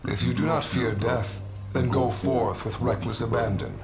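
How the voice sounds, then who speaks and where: An actor playing an ancient, stern legendary warrior in a video game, speaking in a grandiose, archaic, measured manner. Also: male voice, medium pitch, natural voice. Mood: grave, menacing. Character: ancient legendary warrior, stern male trial-master, imperious. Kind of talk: video game cutscene acting. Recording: dramatic game music behind.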